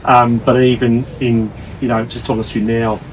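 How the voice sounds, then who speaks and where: A man hosting a conversational interview in wordy, halting phrases with repeated words. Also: mid-range voice, flat delivery, far from the mic, background noise. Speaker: interviewer, host.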